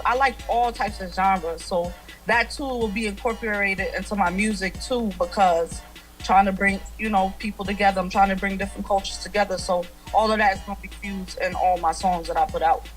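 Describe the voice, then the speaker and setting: A young woman in her twenties, a guest musician talking casually and easygoingly over a video call from a room at home. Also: medium pitch. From United States. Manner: easygoing, laughs often. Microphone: video call webcam.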